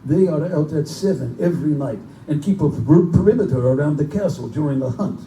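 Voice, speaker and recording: A man's voice: on the high side, expressive, brisk, mellow, natural voice. A man playing a genteel, pompous general who hunts men for sport, in a live theatrical horror drama. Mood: smug menace. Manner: urbane, pompous, condescending. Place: live performance in a bookstore. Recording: distant, echoey live room recording with noise.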